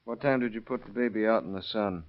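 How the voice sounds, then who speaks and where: An actor playing a calm arson investigator in old radio drama, questioning in a matter-of-fact way. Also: male voice, on the low side, quick pace, close to an everyday voice. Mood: businesslike. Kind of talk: 1930s radio acting. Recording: muffled vintage radio recording.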